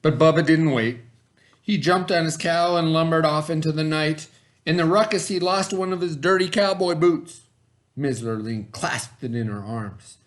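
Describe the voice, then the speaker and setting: Man reading a storybook aloud, slightly high-pitched. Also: normal speed. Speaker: storybook reader. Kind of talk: expressive children's read-aloud narration.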